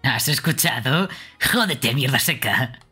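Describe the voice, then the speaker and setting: A man's voice: mid-range voice, expressive, unhurried, sharp tone, soft background music, exaggerated voice. Man voicing a crude, impatient killer. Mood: scornful. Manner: gruff, swearing. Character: crude, impatient, foul-mouthed male killer. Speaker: streamer dubbing a game. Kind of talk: fan game dubbing.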